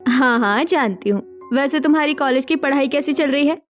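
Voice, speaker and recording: A woman's voice: high voice, moderate pace, soft background music, natural voice. A woman voicing a caring, hardworking young daughter-in-law in a village story, earnest and reassuring. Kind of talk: scripted story dialogue.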